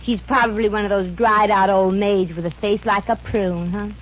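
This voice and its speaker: A woman's voice: medium pitch, fairly even intonation, normal speed, natural voice. An actress playing a brassy, jealous young girlfriend in 1950s radio comedy, with a sassy, slangy delivery. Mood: suspicious. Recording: muffled, noisy 1950 radio recording.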